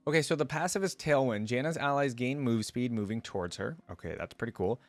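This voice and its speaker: A man hosting a reaction video, giving casual, informal commentary and thinking out loud with enthusiasm. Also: medium pitch, fairly quick, no room sound. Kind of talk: casual reaction commentary with some reading aloud.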